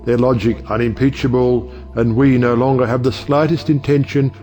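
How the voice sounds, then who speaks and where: A man playing a genial, scheming older judge in an audio play, speaking in smooth, orotund speeches. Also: medium pitch, fairly even intonation, normal speed, mellow, soft background music, close to an everyday voice. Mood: smooth, conciliatory.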